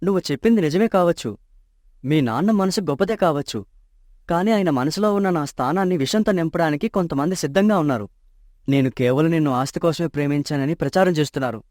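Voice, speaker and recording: A man's voice: on the high side, quick pace, a little mellow, no room sound, studio quality, close to an everyday voice. An actor playing an honest, poor young man in love in a storybook tale, earnest and troubled.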